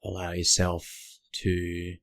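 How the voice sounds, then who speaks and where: A man guiding a meditation in a gentle, unhurried way, speaking in short phrases with long pauses. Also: low-pitched, monotone, vocal fry, no room sound. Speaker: meditation guide. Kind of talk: guided meditation narration.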